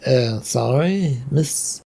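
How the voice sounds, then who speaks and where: Storyteller doing a young guy, polite n unsure, bit creaky, smoothing things over. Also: mid-range voice, expressive, very slow, dark tone, full character voice. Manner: hesitant, placating.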